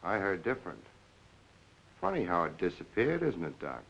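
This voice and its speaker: An actor in a 1940s crime film playing a shrewd, controlled police lieutenant with a terse, probing, dry manner. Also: male voice, low voice, wildly swooping pitch, normal speed, creaky, a bit bright, breathy edge, heavily acted. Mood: cool accusing. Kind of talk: 1940s film noir acting. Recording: dull 1940s film soundtrack.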